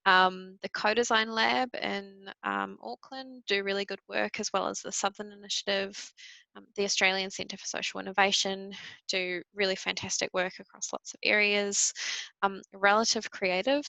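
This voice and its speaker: New Zealand woman, panelist. Heavy vocal fry, bright, dry close sound, thoughtful and conversational. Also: medium pitch, dry recording, poor audio. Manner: thoughtful, frequent ums, light laughs.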